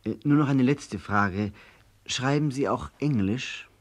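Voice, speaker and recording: A man's voice: medium pitch, unhurried. A man asking polite, formal interview questions on an old 1960s broadcast recording.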